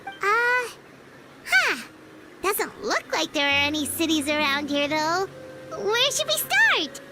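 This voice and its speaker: Tiny, squeaky, excitable fairy girl. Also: slow, heavy background noise, full character voice. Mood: puzzled. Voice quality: squeaky, childlike. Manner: chirpy, speaks of self in third person. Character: small excitable childlike floating fairy companion girl. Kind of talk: video game voice acting. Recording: game music behind the voice.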